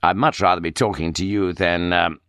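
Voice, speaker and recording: A man's voice: sits low, steady tone, moderate pace, a bit bright, smooth, no echo, studio quality. A man voicing a dryly ironic, irritable middle-aged chief inspector in an audiobook. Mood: curt, dry.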